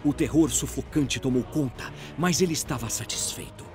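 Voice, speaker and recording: A man's voice: medium pitch, normal speed, music in the background. A man with a Brazilian accent narrating a scripted story in a dramatic audio drama style.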